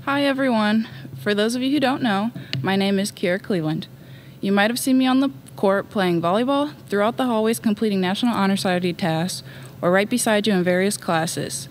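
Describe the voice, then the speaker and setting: A woman's voice: medium pitch, brisk, a little hiss or hum. A reserved teenage girl reading her graduation speech at a podium in an empty auditorium. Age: late teens. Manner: soft-spoken, reserved. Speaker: student speaker. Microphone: podium mic.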